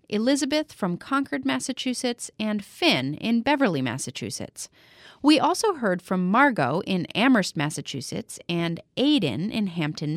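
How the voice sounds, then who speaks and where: A woman's voice: mid-range voice, no room sound, studio quality. A woman hosting a podcast for children, narrating in an upbeat, playful way. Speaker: podcast host.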